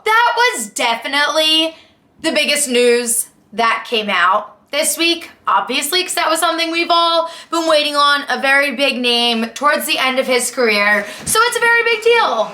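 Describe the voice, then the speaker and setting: A young woman giving casual, opinionated sports commentary in a chatty, expressive, emphatic way with slangy asides, recorded in an echoey room at home. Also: high-pitched. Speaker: sports vlogger and podcast host.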